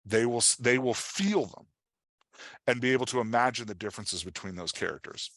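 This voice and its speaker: A man's voice: mid-range voice, brisk, a bit bright, no echo. A man giving an informal workshop as a writing coach, explaining with examples and often checking in with the listener. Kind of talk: informal instructional presentation.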